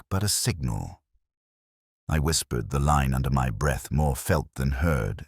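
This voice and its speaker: A man playing an introspective older police detective, narrating a mystery audio drama from his notebook in a measured, literary way. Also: extremely low pitch, no room sound, clean studio sound, exaggerated voice. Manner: brooding, measured, literary delivery.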